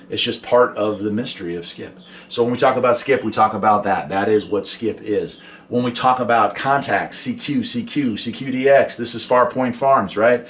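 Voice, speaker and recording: A man from the United States talking casually and explaining his radio hobby in a chatty, folksy way. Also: on the low side. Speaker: hobby vlogger and CB radio operator. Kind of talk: casual explainer talk with radio call demonstrations.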